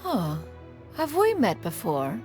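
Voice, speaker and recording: A woman's voice: medium pitch, wildly swooping pitch, slow, over music. A woman voicing a haughty immortal adept with pompous, archaic phrasing, in a video game. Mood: curious, gracious. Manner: pompous, archaic, calls herself "one". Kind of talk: video game character voice acting.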